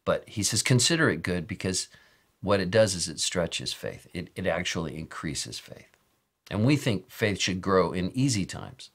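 An American man in his sixties with a slightly raspy voice, teaching as a pastor in an informal, earnest sermon style. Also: low voice, expressive, moderate pace, a bit bright. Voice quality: a little raspy. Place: office or study with bookshelves, indoors.

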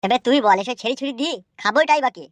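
A man voicing a crude, cocky yet nervous gangster henchman in a comedy cartoon, whining and excitable. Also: very high-pitched, rapid-fire, no room sound. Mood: worried, grumbling. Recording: dry sound with music behind.

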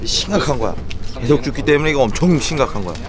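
A man's voice: mid-range voice, big pitch swings, fast. A man in his thirties hosting an outdoor vlog on a boat and dock, moving between explaining to viewers and casual chat. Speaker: host of a fishing and sea vlog.

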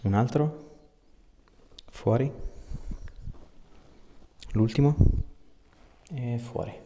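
A man's voice: mid-range voice, extremely slow, dark tone. A man, a chiropractor giving casual instructions and chatting with a patient. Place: small indoor clinic treatment room. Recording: echoey treatment room, faint noise.

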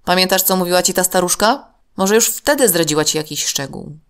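A woman's voice: on the low side, animated, brisk, a bit bright, clean tone. A woman voicing a serious, level-headed, worried young boy in a children's story, earnest and urgent.

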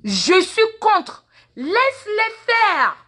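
A woman's voice: very high voice, big pitch swings, very slow, pushed and bright. A woman in her forties, a native Mina speaker with a Mina accent, lecturing her followers in an impassioned, repetitive way on a phone held at arm's length in a large indoor waiting room. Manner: impassioned, lecturing, repeats words and questions. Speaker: social media personality. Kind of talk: live phone video monologue.

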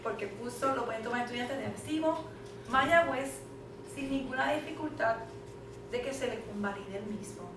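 A middle-aged woman, speaking as a panel moderator in a fluent, emphatic lecturing manner. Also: a little high. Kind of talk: conference presentation. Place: classroom, indoors. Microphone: far camera. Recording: echoey classroom from far off, noisy.

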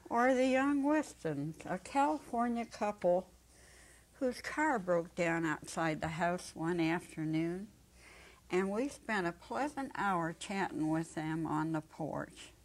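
A woman in her seventies giving a dramatic reading of a story, measured and careful. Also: on the low side, low-quality recording. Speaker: story reader. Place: indoor set with holiday decor.

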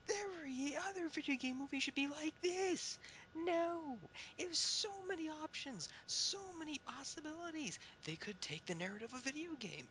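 A woman's voice: sits high, fairly bright tone, slight background noise. A woman speaking as a panelist on an online call, delivering opinions in an emphatic, theatrical editorial style. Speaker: talk show panelist.

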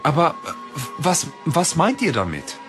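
A man's voice: on the high side, huge pitch swings, a little slow, sharp tone, full character voice. A man playing a wary, self-reliant young man in an audio drama. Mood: sceptical. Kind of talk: German audio drama acting. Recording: music behind the voice.

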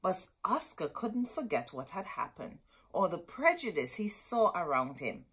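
A woman's voice: lowish voice, sing-song, a little slow, fairly bright tone, husky. A middle-aged woman reading a children's picture book aloud in a dramatic, emphatic storytelling manner. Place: indoor room with bookshelves.

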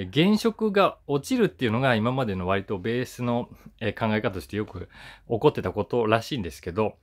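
Male, 40s, casual solo commentary, conversational and musing, very lively intonation. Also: medium pitch. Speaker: voice actor hosting his own talk channel. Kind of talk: casual chatty commentary to camera.

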